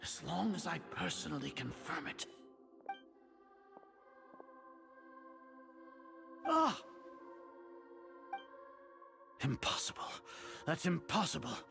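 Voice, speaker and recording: A man's voice: on the high side, very animated, normal speed, creaky, music in the background, exaggerated voice. An actor playing an imperious, suspicious older male grand sage in a video game, speaking formally and commandingly as he grows rattled. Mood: panicked denial. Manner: commanding, formal, questioning himself aloud.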